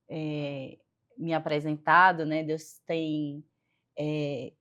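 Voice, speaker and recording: A middle-aged woman from Northeast Brazil with a strong Northeastern Brazilian accent, speaking earnestly as a physiotherapist guest in a faith-based interview, often pausing on "né". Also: low-pitched, a bit bright. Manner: earnest, pauses with frequent "né".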